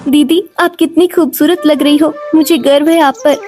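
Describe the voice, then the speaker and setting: A woman voicing a humble, loving younger village sister in an earnest, gentle way for a cartoon story. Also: high-pitched, fairly even intonation, normal speed, soft background music, voice pushed up, natural voice. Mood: loving, admiring. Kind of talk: Hindi cartoon moral story dialogue.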